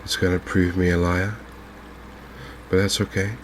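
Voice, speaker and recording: A man speaking softly and unhurriedly in an ASMR style, murmuring his way through video game commentary as a streamer. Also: sits low, level tone, a little hiss or hum. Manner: soft-spoken, unhurried, murmuring to himself.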